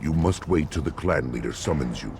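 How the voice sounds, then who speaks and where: An actor playing a stern alien guard in a video game, with a gravelly voice. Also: male voice, rumbling low, expressive, fairly quick, background noise.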